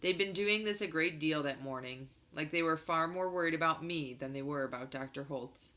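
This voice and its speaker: A woman reading a children's novel aloud as an expressive narrator. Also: deep voice, normal speed.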